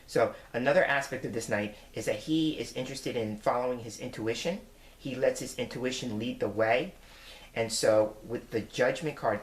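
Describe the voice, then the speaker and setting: A man in his forties, a tarot reader, giving his weekly forecast in an earnest, reflective way as he explains each card. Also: mid-range voice. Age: 40s. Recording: roomy echo.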